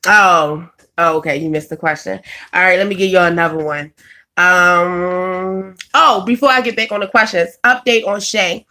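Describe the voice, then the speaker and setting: Bright-voiced woman chatting with viewers. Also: lowish voice, fairly even intonation, normal speed. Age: 20s. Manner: chatty, laughs often, answers viewers by name. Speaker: vlogger reading live chat. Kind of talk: casual live-stream chat.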